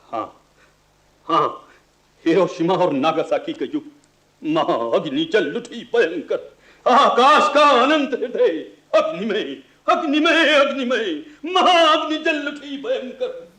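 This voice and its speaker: Animated, excitable older gentleman. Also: sits high, takes it slowly, full character voice. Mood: dramatic, declaiming. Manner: theatrical, repetitive, stammering. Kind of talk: Hindi radio play acting.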